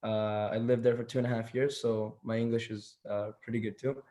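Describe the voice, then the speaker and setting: A man's voice: medium pitch, little pitch movement, brisk, warm tone. A seventeen-year-old boy from Turkey giving an online workshop presentation, hesitant, with many fillers and restarts. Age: teens.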